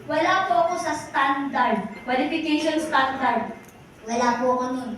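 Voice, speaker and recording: A Filipino woman in her forties with a high voice delivers a personal testimonial to a crowd, moving between English and Tagalog. She keeps calling out to the ladies and gentlemen and asking rhetorical questions. She sounds far from the mic in a very echoey hall with noise behind her. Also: moderate pace. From Philippines. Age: 40s. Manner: addresses the audience directly again and again. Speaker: motivational speaker at a company event. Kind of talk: testimonial speech to an audience. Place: large echoey event hall.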